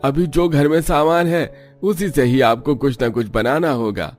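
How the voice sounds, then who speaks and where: A man plays a humble, cheeky servant in a cartoon family tale. His voice is high for a man, deferential as he explains things, with music underneath. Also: normal speed, close to an everyday voice. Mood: deferential, joking. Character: humble, cheeky, helpful male household servant. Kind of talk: Hindi cartoon dubbing.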